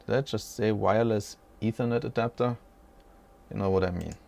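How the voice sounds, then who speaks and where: A man's voice: fairly low pitch, fairly even intonation, brisk, vocal fry, darkish tone. A man in his forties hosting an unscripted tech walkthrough from a small room at home, rambling casually and correcting himself as he goes. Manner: rambling, self-correcting, chatty. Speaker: tech channel host.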